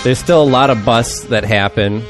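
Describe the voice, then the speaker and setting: A man's voice: medium pitch, normal speed, music in the background. A man hosting a casual chat, explaining things in a rambling way with many restarts and fillers. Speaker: podcast host. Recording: talk over a song playing.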